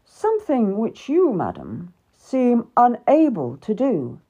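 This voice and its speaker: A woman voicing an impatient, domineering middle-aged king who speaks loudly and curtly. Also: fairly high pitch, huge pitch swings, slow, slightly rough, dull audio, pitched above the performer's own voice, heavily acted. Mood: scolding. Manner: loud, curt and scolding. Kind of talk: audiobook character reading.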